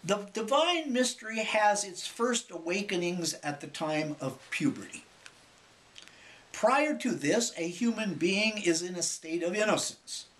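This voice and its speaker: Slow, deliberate male narrator with rising and falling pitch. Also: fairly bright tone. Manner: measured, deliberate phrasing with frequent pauses. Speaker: author reading own spiritual book. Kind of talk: scripted spiritual audiobook narration.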